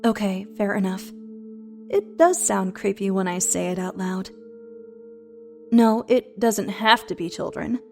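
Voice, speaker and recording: A woman's voice: mid-range voice, unhurried, long pauses, a little mellow, close to an everyday voice. A woman playing a bitter, sarcastic, century-old shapeshifting monster in an audio horror drama, combative and foul-mouthed. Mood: sardonic. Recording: music under the voice.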